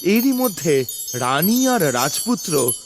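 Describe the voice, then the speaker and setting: A man's voice: on the high side, sing-song, slow pace. A man narrating a scripted fairy tale as a dramatic storyteller. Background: music.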